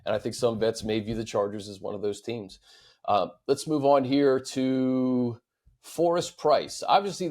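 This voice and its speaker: American dude hosting a sports show, medium pitch, easygoing and chatty, fan q&a style. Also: male voice, a little mellow. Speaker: sports talk show host. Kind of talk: casual sports talk, reading fan questions.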